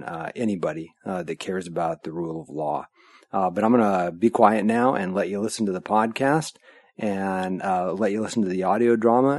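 An American man hosting a podcast, talking in a casual, opinionated monologue with halting phrasing. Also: low voice, a little mellow, breathy edge, no room sound. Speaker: podcast host.

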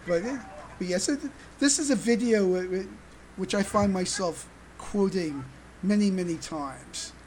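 Slow, halting podcast host over noticeable noise. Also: medium pitch, a little mellow. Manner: halting, deliberate, repeats words. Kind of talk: casual fan podcast discussion. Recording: noisy amateur recording with room ambience.

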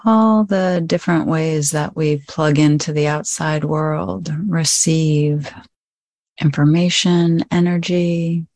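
A woman leading a guided meditation, gently inviting the listener in short phrases with long pauses between them. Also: low voice, fairly even intonation, dry recording, clean studio sound. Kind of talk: guided meditation, slow spoken instruction.

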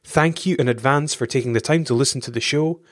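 A man's voice: medium pitch, fast talker. Polite male podcast host. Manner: polite, encouraging, frequent affirmations. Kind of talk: interview podcast hosting.

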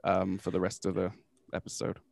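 Low, flat-voiced man in a dry room. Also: brisk, gravelly, dry recording. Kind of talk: casual podcast chat.

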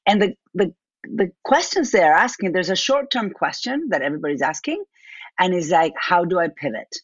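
A woman's voice: fairly low pitch, very animated, moderate pace, breathy edge, no room sound, highs cut off. A woman with an Argentine accent, a business owner answering interview questions and thinking aloud with restarts and repeats.

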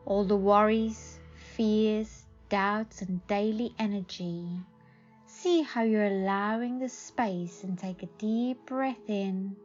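Very slow-speaking female meditation guide. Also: medium pitch, darkish tone, highs cut off. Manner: soothing, measured, with long pauses. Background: faint music.

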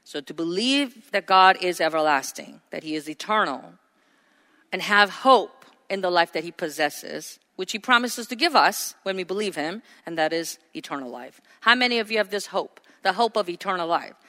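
A woman's voice: fairly low pitch, lively intonation, normal speed, sharp tone. A woman pastor preaching a sermon, earnest and asking her congregation questions. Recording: hall reverb.

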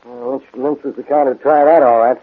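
An actor as a husky, blustery police inspector. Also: male voice, mid-range voice, steady tone, fairly quick, lightly acted. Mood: grudging, blustery. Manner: blustery, sardonic. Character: gruff, grudging, skeptical male police inspector. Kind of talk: 1940s radio crime drama acting. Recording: muffled narrow-band vintage 1940s radio recording.

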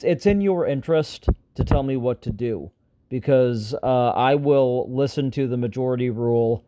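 A man hosting a casual solo podcast update, talking in a rambling, self-deprecating way full of fillers, his voice a little raspy and strained. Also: medium pitch, warm tone. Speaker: podcast host.

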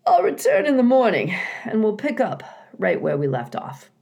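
A woman voicing a folksy, bossy but kindly male beaver builder in a children's storybook, chatty and gruff in manner. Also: mid-range voice, big pitch swings, moderate pace, dark tone, voice pushed up. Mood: approving.

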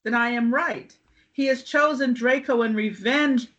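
A desperate aristocratic mother read by a woman pleads through tears, a bit higher and louder than the narration. The recording is lo-fi. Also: a little slow. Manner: tearful, begging.

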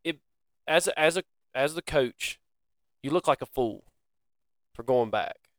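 A man's voice: medium pitch, expressive, a little slow, dry recording, clean studio sound. A man co-hosting a sports podcast, sounding glum and defeated about his team but laughing it off in a casual chat. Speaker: podcast co-host.

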